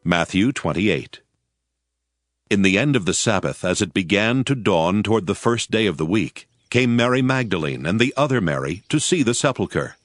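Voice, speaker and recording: A man narrating a dramatized Bible reading in a solemn, measured storytelling manner. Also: fairly low pitch, lively intonation, dry recording, clean studio sound.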